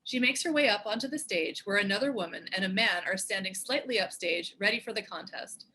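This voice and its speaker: A woman with a husky voice reading scripted stage directions as the narrator of a table read. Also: mid-range voice, brisk, sharp tone, slight background noise. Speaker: narrator and show co-creator.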